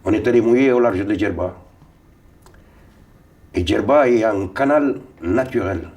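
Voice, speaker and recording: An older man from Tunisia, Sicilian by first language, tells his memories in a fairly low voice. He rambles, repeating words and starting over mid-sentence. He sits a little away from the microphone, so his voice sounds slightly distant. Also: measured pace, a little mellow, highs cut off. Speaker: interviewee. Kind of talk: recounting memories. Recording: voice a little far from mic.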